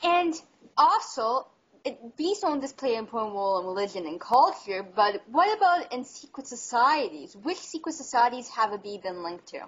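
A woman's voice: on the high side, sing-song, moderate pace, slightly rough. A young woman hosting an interview over a video call, asking questions and agreeing briefly. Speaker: host. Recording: dull lo-fi video call audio.

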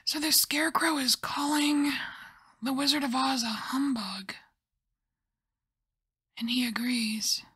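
A woman softly whispering and musing as she lays out and reads tarot cards in an ASMR style. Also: sits high, steady tone, slightly rough. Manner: soft whispering, musing and unhurried. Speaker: tarot reader.

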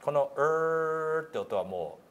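A man's voice: a little high, animated, slow. A middle-aged man coaching English pronunciation in a casual, hands-on way, demonstrating sounds in a meeting room. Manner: demonstrates single sounds and words, drawn out. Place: small meeting room, indoors.

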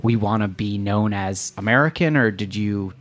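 A man's voice: fairly low pitch, moderate pace. A man hosting a food podcast, asking curious, rambling questions with restarts. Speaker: podcast host. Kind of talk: interview questions.

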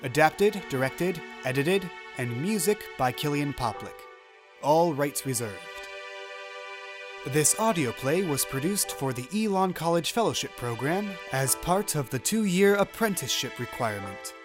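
A man's voice: fairly high pitch, expressive, a little slow, over music. A man reading scripted closing credits as an announcer.

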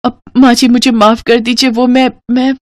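A woman's voice: a little high, flat delivery, moderate pace, background noise, pitched above the performer's own voice, close to an everyday voice. A woman voicing a timid, tearful young daughter-in-law who pleads and falters in a cartoon story. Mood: frightened pleading. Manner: pleading, stammering.

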